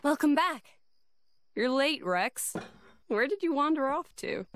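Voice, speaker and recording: An actress playing a caring, playful young woman companion in a video game, gentle and teasing. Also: sits high, animated, a little slow. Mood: fond, teasing.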